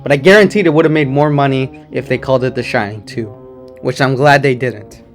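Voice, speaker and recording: A man with a slightly hoarse voice and occasional voice cracks, giving a chatty, rambling movie review at home. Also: mid-range voice, a little fast, faint music behind. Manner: chatty, rambling, enthusiastic opinions. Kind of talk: casual non-spoiler movie review.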